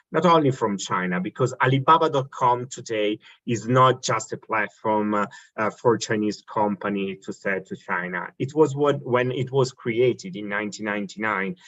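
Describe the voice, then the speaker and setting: An Italian man with a lowish voice walks through slides in a webinar. His delivery is halting, with frequent fillers and restarts. The audio is dull and lo-fi. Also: fairly bright tone. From Italy. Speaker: business development director. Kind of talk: webinar presentation.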